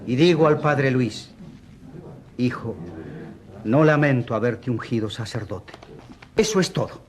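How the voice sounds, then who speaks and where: A man's voice: medium pitch, expressive, measured pace, close to an everyday voice. An actor playing a fatherly, firm bishop who testifies with emphatic oratory in a radio drama. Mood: fatherly, resolute. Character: solemn male bishop of a rural diocese, fatherly, firm.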